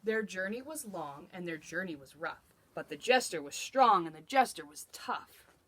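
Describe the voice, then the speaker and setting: A woman's voice: lowish voice, lively intonation, moderate pace. A woman teacher reading a rhyming children's storybook aloud, rhythmically and with dramatic emphasis. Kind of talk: expressive children's storybook narration.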